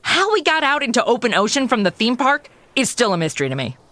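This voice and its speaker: Actress playing a sassy, lively American wisecracker. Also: female voice, fairly high pitch, fast, no echo. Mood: exasperated, flippant. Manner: sarcastic, modern slangy delivery. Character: sassy modern young American woman tourist, flippant, wisecracking. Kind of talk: comedy audio drama acting.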